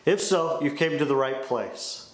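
A man's voice: on the high side, unhurried. A young man presenting a scripted company explainer to camera in a small indoor studio. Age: young adult. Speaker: sales director presenting a company explainer video. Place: small indoor studio with dark wall panels. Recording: roomy echo on the voice.

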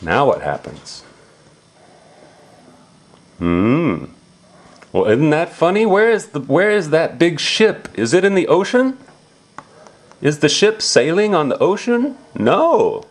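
A man reads a storybook to a young child at an unhurried pace. His pitch moves up and down in a lively way as he asks simple questions and explains words, with exclamations along the way. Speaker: adult reading aloud to a young child. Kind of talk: animated picture-book read-aloud with questions to a child.

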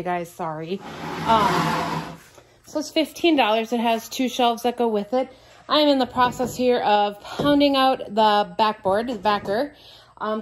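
A woman's voice: fairly high pitch, slight background noise. A woman in her forties filming her furniture flipping vlog on a phone in a cluttered garage workshop, talking casually with lots of ums as she works. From American Midwest. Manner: chatty, lots of ums, talks while working. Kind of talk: casual walk-through vlog. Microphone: phone camera, often held at arm's length.

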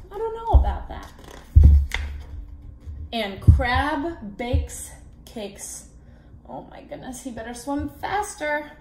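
A woman in her twenties reading a children's picture book aloud expressively, in a deliberate, animated way with questions for young listeners. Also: high-pitched. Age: 20s. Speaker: read-aloud storyteller for children. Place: small indoor room at a table. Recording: echoey room, background noise with clicks.

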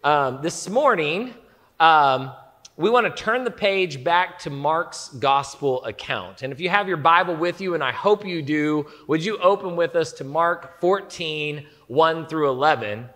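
Energetic male pastor in his thirties, slightly scratchy, a bit high, long reverb of a big auditorium. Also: measured pace. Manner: energetic, gestures while speaking. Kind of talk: sermon co-teaching. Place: large church auditorium.

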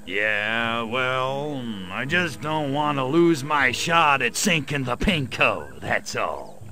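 A man's voice: mid-range voice, very animated, slow, sharp tone, full character voice. A man voicing a small, wisecracking grey alien invader in a video game, brash and sardonic, with an American accent. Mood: awkward, gruff. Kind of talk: video game cutscene acting.

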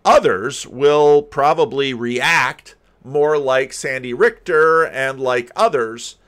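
An older man giving casual, rambling commentary as a host, halting and thinking aloud. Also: medium pitch, fairly bright tone. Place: home room lined with books. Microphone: desk microphone, close, with headphones.

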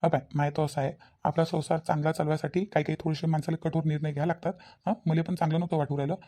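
A man's voice: on the high side, level tone, very fast, warm tone. A man voicing a scheming young husband in a village cartoon, self-justifying and repetitive, with a Varhadi Marathi accent. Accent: Varhadi Marathi (Vidarbha). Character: exasperated, scheming newly married young husband.

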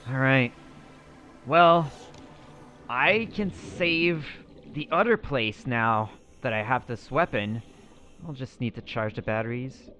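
A man's voice: medium pitch, slow, pauses often, sharp tone. A man roleplaying a character over gameplay, thinking aloud to himself as he goes. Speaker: roleplaying gamer. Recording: echoey reverberant room with faint music.

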